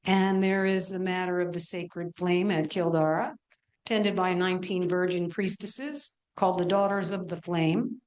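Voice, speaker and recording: A woman's voice: lowish voice, darkish tone, lightly acted, low-quality recording. A woman playing a self-assured pagan goddess in a stage play, telling her myths in a matter-of-fact way. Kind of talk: amateur stage play performance.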